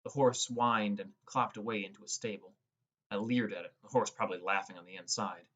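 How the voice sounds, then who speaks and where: A man's voice: lowish voice, fairly even intonation, brisk, a bit bright, muffled recording. A man reading scripted first-person narration as the narrator of an audiobook.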